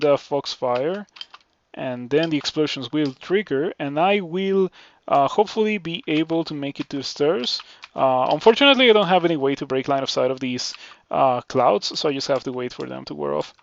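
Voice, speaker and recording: Mexican man with a gravelly voice. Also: fairly high pitch, highs cut off. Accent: Mexican Spanish. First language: Spanish. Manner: measured, filler-heavy thinking aloud. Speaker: game streamer. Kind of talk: live gameplay commentary.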